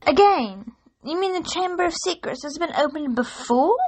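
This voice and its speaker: A woman playing a brisk, clever schoolgirl witch in an audio drama, confident and matter-of-fact. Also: sits high, animated, darkish tone. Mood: assured.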